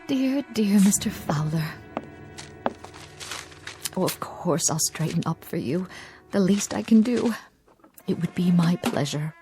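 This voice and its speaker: An actress playing a kindly, anxious housekeeper in a radio drama, tender and deferential in manner. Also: female voice, on the low side, animated, slow, pauses often, mellow, faint music behind. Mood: sorrowful devotion. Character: devoted, kindly, anxious woman housekeeper to a professor.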